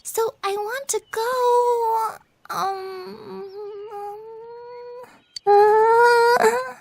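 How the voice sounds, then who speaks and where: A woman's voice: very high-pitched, fairly even intonation, extremely slow, vocal fry, a little mellow, no echo, heavily acted. A woman voicing a shy, devoted teenage schoolgirl in an anime-style video game, girlish and sweet, with a hesitant, flustered, polite way of talking.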